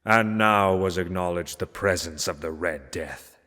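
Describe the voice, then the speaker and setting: A man reading a gothic story aloud as narrator, in a solemn, measured and theatrical manner. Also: low-pitched, bright, forceful tone. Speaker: narrator, reader. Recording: long hall-like reverb.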